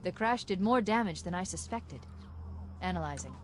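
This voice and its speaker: An actress playing a calm, analytical female military artificial intelligence in a video game, with crisp, clinical delivery. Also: fairly low pitch, normal speed, soft background music, close to an everyday voice. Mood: analytical, urgent. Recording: game audio under loud sound effects.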